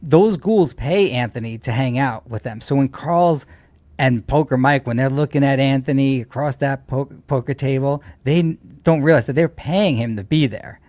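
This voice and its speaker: A man's voice: medium pitch, normal speed, darkish tone, no room sound. A man chatting casually on a livestream as a co-host, opinionated and teasing. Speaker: co-host or regular guest on a livestream. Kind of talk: casual chat.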